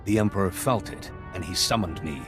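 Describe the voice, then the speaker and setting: Man acting as redeemed game Jedi, deepish voice, solemn, intense, deliberate, haunted zealot. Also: music in the background, lightly acted. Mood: somber. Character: fallen, redeemed male Jedi, grave, haunted, resolute zealot. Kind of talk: dramatic video game acting.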